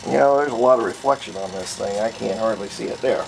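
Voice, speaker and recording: A man with a gravelly, creaky voice narrates a hands-on hobby demonstration in a casual, folksy way. He takes his time and thinks aloud as he goes. There is a faint layer of noise behind him in the homemade recording. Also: fairly low pitch, fast, darkish tone, low-quality recording. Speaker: hobbyist restorer and video host.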